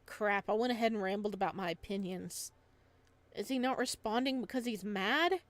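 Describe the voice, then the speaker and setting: A woman's voice: medium pitch, normal speed, lightly acted. Woman voicing a nervous, flustered young man. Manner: nervous, awkward, earnest. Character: timid, easily flustered young male student with a crush.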